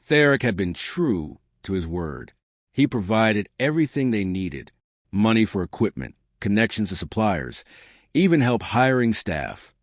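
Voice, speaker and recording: Lively low-voiced male storyteller. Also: no room sound, studio quality. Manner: lively storytelling, shifting pitch for quoted characters. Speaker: narrator. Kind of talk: scripted story narration.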